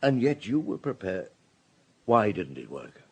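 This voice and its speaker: An actor playing a middle-aged police superintendent in a radio drama, calm, courteous and quietly insistent as he questions. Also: fairly low pitch, a little slow, husky, full character voice.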